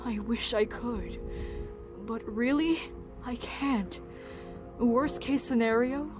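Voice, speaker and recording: A woman playing a frank, caring camp doctor in an audio drama, earnest and gentle with some hesitation. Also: fairly high pitch, takes it slowly, over music. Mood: grave, sympathetic.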